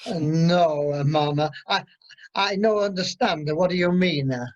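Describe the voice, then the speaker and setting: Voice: mid-range voice, unhurried, lightly acted. An amateur actor playing an eager, apologetic Italian waiter with flustered broken English in a radio comedy. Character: eager, apologetic, bumbling Italian cocktail waiter, adult man. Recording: muffled online meeting audio.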